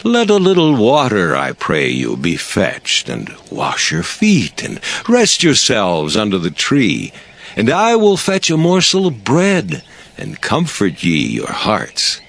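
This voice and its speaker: An actor playing a very old, humble and earnest patriarch in a dramatized scripture reading, with a pleading delivery. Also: male voice, on the low side, huge pitch swings, slow pace, vocal fry, fairly bright tone, full character voice. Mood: humble, welcoming.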